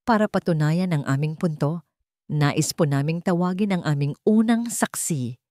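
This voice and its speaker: A woman's voice: low-pitched, normal speed, dark tone, no room sound, studio quality, close to an everyday voice. Woman voicing a formal, probing courtroom lawyer. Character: composed, probing lawyer questioning witnesses in court.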